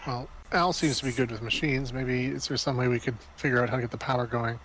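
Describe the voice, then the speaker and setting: Fast-talking man at a roleplaying game. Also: medium pitch, steady tone, fairly bright tone, poor audio. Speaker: player in a tabletop roleplaying game. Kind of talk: casual chat. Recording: faint background noise.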